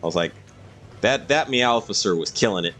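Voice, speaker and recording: A man's voice: lowish voice, animated, moderate pace, fairly bright tone, faint music behind. A man doing casual live-stream game commentary, explaining things to viewers in a rambling way.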